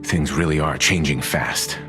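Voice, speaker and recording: A man voicing a steady, reflective video game character in a composed, serious manner. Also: a bass voice, measured pace, full character voice. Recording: music under the voice.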